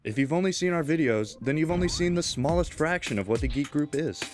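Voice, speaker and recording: A man's voice: a little high, lively intonation, brisk. A man delivering scripted promotional narration as the voice-over for an organisation. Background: prominent instrumental music.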